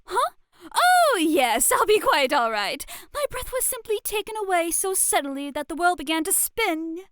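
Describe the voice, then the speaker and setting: A woman's voice: very high voice, big pitch swings, moderate pace, fairly bright tone, no room sound, clean studio sound, exaggerated voice. A woman playing a dramatic, coquettish society lady who teaches wooing in a scripted ASMR roleplay, with prim, theatrical phrasing. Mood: swooning, flustered. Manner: prim, theatrical, swooning flourishes.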